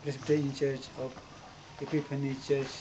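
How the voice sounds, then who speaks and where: A middle-aged man with an Indian accent, a pastor introducing himself and his church in halting, short phrases. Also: medium pitch, completely flat delivery, warm tone. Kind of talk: simple introduction to camera. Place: outdoors in a church doorway. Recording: noisy outdoor camcorder, ambient sound.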